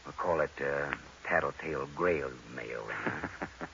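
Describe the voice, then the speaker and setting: An actor playing a wry, tough private detective who narrates a 1940s radio noir, deadpan and laconic. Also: male voice, a bass voice, unhurried, vocal fry, a little hiss or hum, exaggerated voice. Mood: sardonic. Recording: muffled vintage radio recording, dramatic music.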